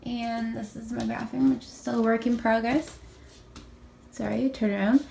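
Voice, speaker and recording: A young woman with a raspy morning voice, chatting casually through a tour of her small bedroom, filmed on a handheld phone. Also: fairly high pitch, fairly even intonation, normal speed, darkish tone, slight background noise. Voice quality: raspy from just waking up. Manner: chatty, upbeat, many ums and likes. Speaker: vlogger. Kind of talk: casual room tour vlog. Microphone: handheld phone camera.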